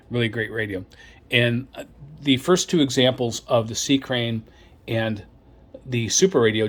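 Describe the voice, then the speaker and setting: A man giving a casual product demonstration as a reviewer, in a hesitant, rambling way, often repeating himself. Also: fairly low pitch. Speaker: radio reviewer presenting gear.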